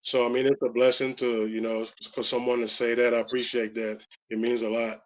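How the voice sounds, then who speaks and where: A man from Belize with a Belizean accent, a rapper answering interview questions in a halting way, full of fillers. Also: medium pitch, level tone, lo-fi sound. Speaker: rapper guest.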